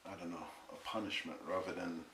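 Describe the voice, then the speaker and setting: Deep-voiced British man in a roomy space. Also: steady tone, brisk, sharp tone. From England. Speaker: host, interviewer. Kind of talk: casual interview chat. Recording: roomy echo, faint hiss.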